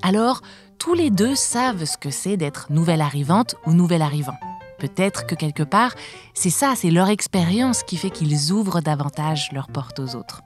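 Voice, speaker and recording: A woman hosting a podcast, reading a scripted introduction in an even, deliberate way. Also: fairly low pitch. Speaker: podcast host. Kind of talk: scripted narration. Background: faint music.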